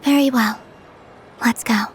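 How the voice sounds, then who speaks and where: An actress playing a gentle, wistful young moon maiden in a video game, speaking in a soft, earnest, delicate way. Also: female voice, on the high side, fairly even intonation, measured pace, natural voice. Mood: calm, tender. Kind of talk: video game cutscene voice acting.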